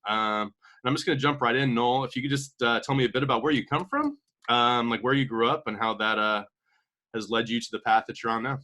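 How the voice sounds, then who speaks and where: A man hosting an interview and asking questions in a quick, casual way with fillers. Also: medium pitch, steady tone, sharp tone. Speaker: interview host.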